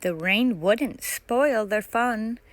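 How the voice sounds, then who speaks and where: A woman's voice: fairly high pitch, extremely slow, no room sound. A woman narrating a children's picture book aloud as a storytime reader.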